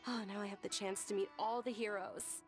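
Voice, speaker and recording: A woman's voice: medium pitch, fairly even intonation, brisk, soft background music, close to an everyday voice. A woman playing a sweet, polite, cheerful young lady in a video game, with a gushing manner. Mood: delighted. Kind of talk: video game character dialogue.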